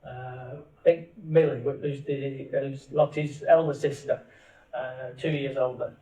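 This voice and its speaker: A man's voice: mid-range voice, steady tone, a little slow, dark tone. A man co-hosting a family podcast, asking long questions that restart as he goes. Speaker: podcast co-host, father. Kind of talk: casual family podcast chat. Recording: dull, echoey, distant mic.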